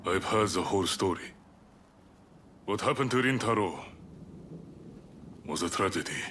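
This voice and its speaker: A man voicing a steady, sober samurai comrade with restrained acting in a video game cutscene. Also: low-pitched, a little slow, a little hiss or hum, heavily acted. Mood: somber.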